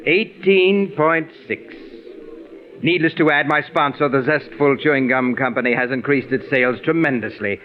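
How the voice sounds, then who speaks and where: An actor playing a pompous, egotistical radio producer with precise, condescending diction, in the style of 1940s radio drama. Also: male voice, medium pitch, normal speed, natural voice. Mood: self-important. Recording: muffled, narrow-band 1940s radio recording.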